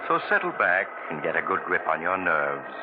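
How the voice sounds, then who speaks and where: A very low, sinister traveler narrates with slow, theatrical menace. It sounds like an old 1940s radio horror broadcast. The audio is muffled and full of hiss. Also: male voice, very animated. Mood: ominous. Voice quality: slow, ominous, theatrical delivery. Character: sinister male traveler narrating tales of terror. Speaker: narrator and host.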